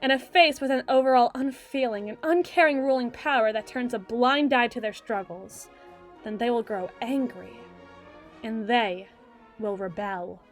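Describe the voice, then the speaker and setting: A high-pitched, regal evil queen acted out by a woman, cold and possessive. She speaks like a formal orator, leaning on words for dramatic weight. It is a scripted roleplay monologue with soft music behind it. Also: lightly acted. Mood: cold, brooding. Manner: theatrical, formal, deliberate oratory with dramatic emphasis.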